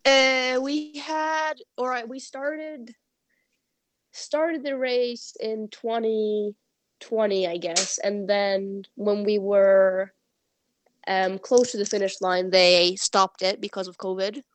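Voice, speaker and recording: Slow, hesitant young woman on a video call. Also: medium pitch, steady tone, slight background noise, poor audio. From Norway. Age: 20s. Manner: hesitant, searching for English words, laughs shyly. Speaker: interviewee, sled dog musher. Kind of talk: remote interview conversation.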